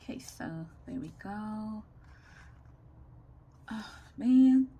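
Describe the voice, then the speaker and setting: A woman giving a casual, chatty craft demonstration, thinking aloud in a rambling way with a loud, carrying voice, recorded without a separate microphone. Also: mid-range voice, extremely slow, lo-fi sound. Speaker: craft tutorial host. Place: indoors at a home craft desk. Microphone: camera or phone without separate microphone. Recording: noisy room, no microphone.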